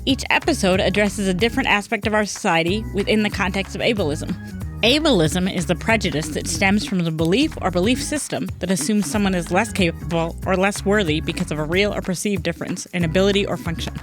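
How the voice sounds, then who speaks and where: A woman's voice: medium pitch, brisk. Woman, podcast host, upbeat and friendly, faint background music. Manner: upbeat, friendly, with frequent fillers. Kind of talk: scripted intro then casual interview.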